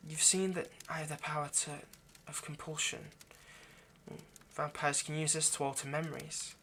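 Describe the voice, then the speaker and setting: Male vampire lover, played by a man, for intimate audio roleplay: ageless, possessive, remorseful, halting and pleading delivery, slightly noisy recording. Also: on the high side, little pitch movement, sharp tone. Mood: resigned, sad. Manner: halting, earnest, pleading, dropping to near-whisper.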